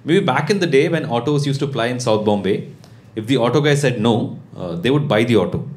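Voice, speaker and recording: Lively Indian man doing stand-up in echoey club. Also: mid-range voice, a little fast. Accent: South Indian English. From Bangalore, India. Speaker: stand-up comedian. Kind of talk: stand-up comedy routine to a live audience. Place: small indoor comedy club. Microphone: handheld-type stage microphone on a stand. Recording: echoey live club, audience noise. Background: audience laughter.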